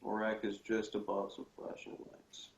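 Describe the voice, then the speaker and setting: A man in casual conversation as a panelist, talking in a halting, rambling way. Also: on the low side, completely flat delivery, creaky, muffled recording. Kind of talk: casual chat.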